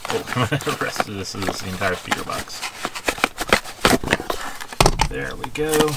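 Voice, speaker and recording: A man's voice: medium pitch, expressive, takes it slowly. A man talking casually and off the cuff through an unboxing and product review, thinking aloud as he handles things. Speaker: haunted-house hobbyist reviewing gear. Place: indoors at a cluttered workbench. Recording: clearly noisy room recording with clicks and handling.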